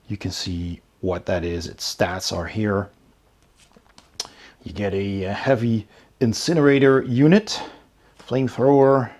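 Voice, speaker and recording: A man's voice: on the low side, a little mellow. A man hosting a casual unboxing video, thinking aloud and hesitating as he describes each item. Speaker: host of a board game unboxing channel.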